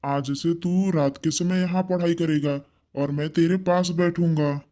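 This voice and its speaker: A poor village father, played by a man in an animated moral story. His voice is dark and mellow, and he speaks in a gentle, fatherly way. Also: a little high, unhurried, close to an everyday voice. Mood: reassuring.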